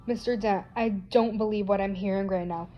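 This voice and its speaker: A woman's voice: medium pitch, steady tone, normal speed, highs cut off, lightly acted. A woman playing a formal, stern courtroom judge in an amateur superhero radio play. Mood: formal, disbelieving. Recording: music behind the voice.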